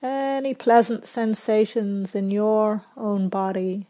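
A woman meditation teacher guiding a sitting meditation in a soft, unhurried way with long pauses. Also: mid-range voice. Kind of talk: guided meditation. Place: retreat center.

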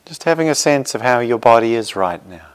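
A man leading a guided meditation as a teacher, speaking gently and reassuringly in short phrases with unhurried pauses. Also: mid-range voice, lively intonation, clean tone.

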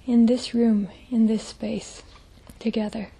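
A woman leading a guided meditation as a teacher, speaking gently in a soft, breathy, hushed voice with long pauses. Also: on the high side, little pitch movement, a little hiss or hum, poor audio.

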